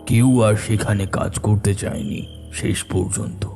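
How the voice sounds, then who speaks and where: A man narrating a scripted first-person story in a deliberate, suspenseful way. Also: lowish voice, steady tone, creaky, over music.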